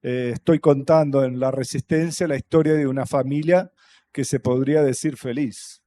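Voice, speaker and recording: A man from Brazil whose first language is Portuguese, speaking Spanish with a Brazilian accent on a festival panel, thoughtful and halting, with many fillers and restarts. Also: mid-range voice, fairly even intonation, mellow. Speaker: panel guest, writer. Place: stage at a public festival panel.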